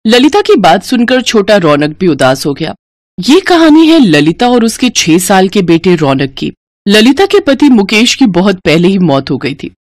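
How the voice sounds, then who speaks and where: Woman narrator, scripted story, expressive, animated intonation, medium pitch. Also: moderate pace, no echo, studio quality.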